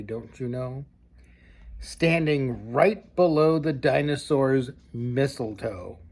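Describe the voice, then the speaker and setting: Older male storybook reader, grandfatherly, slow and expressive, with noticeable gravel in the voice. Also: mid-range voice. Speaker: grandfather reading a storybook aloud. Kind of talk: expressive children's storybook reading with casual asides.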